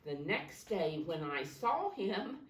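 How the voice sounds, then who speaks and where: A woman with a low voice leads storytime for small children. Her delivery is slow and instructive, in simple words with questions and prompts. The recording is roomy, with the microphone some distance away. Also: big pitch swings. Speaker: preschool teacher reading a picture book aloud. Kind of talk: storytime talk to young children.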